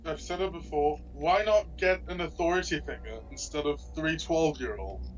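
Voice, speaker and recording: A man with a husky voice making joking remarks about a film. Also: a little high, normal speed, highs cut off. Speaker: comedy riffer. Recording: distant from the mic.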